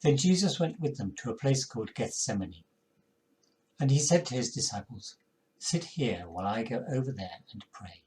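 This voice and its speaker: An older man reading scripture aloud in a measured, solemn manner. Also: mid-range voice, animated, darkish tone, rough recording. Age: older, 60s or 70s. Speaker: congregation member reading scripture. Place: living room at home, indoors.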